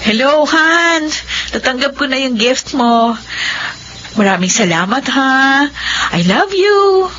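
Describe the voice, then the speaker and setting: An actress playing an earnest, faithful working wife in a radio drama, with a polite manner. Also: female voice, sits high, lively intonation, takes it slowly, muffled recording, music in the background. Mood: affectionate. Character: earnest, faithful, hardworking married working woman. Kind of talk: radio drama acting and narration.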